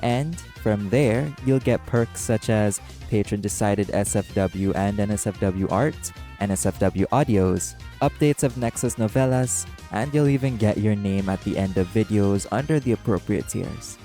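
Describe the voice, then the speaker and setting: Low mellow guy doing a friendly channel outro, guitar music behind. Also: moderate pace, hardly any pauses. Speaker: channel creator.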